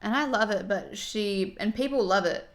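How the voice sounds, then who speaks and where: A woman's voice: mid-range voice, moderate pace. A woman streaming casually while doing her makeup, reading viewer comments aloud and reacting to them in a chatty, friendly way.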